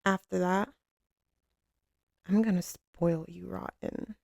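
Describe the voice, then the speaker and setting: Gravelly, doting mafia boss lady, acted by a woman. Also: lowish voice, fairly even intonation, takes it slowly, darkish tone, dry recording, studio quality, heavily acted. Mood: doting, earnest. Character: tough, commanding female mafia boss, tender and doting with lover. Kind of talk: intimate ASMR roleplay acting. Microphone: close studio microphone, dry.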